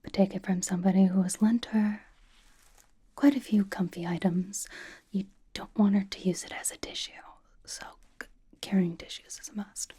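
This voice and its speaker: A woman voicing a young female K-pop idol who is dryly doting and comfort-obsessed, over-explaining playfully in a hushed, casual ASMR roleplay whisper. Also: medium pitch, fairly even intonation, moderate pace, husky. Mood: cozy, doting.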